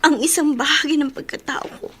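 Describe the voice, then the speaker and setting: An actress playing a hurt young student torn between resentment and forgiveness, in emotional Filipino radio drama with a Filipino accent. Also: female voice, high voice, a bit bright, slight background noise. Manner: slow, drawn-out, emotional delivery. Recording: dramatic music under the voice.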